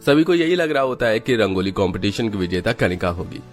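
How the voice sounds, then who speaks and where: Low-voiced male children's story narrator. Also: very animated, fairly quick, soft background music.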